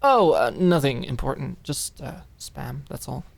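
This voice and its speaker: A woman's voice: extremely low pitch, lively intonation, a little slow, vocal fry, heavily acted. Lady doing a glum sheepish girl, stammery and evasive, audiobook drama, kinda timid. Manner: hesitant, stammering, mumbling excuses.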